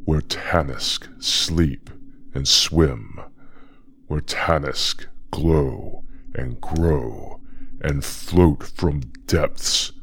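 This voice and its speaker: A man playing a sinister, possessive ancient being of river and forest, narrating an audio drama slowly and ominously in a husky voice. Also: a bit bright, exaggerated voice. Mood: ominous, brooding. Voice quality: husky, very deep. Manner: slow, deliberate, ominous. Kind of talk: dramatic first-person audio fiction narration.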